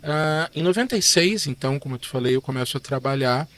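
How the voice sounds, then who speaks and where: A man in his fifties with a Curitiba accent, a guest telling stories about his life in a relaxed, chatty way, tagging sentences with "né?". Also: mid-range voice, fast. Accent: Curitiba Portuguese. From Curitiba, Brazil. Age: 50s. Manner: chatty, relaxed, frequent "né?" tags. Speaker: guest artist and illustrator. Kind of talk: casual interview storytelling.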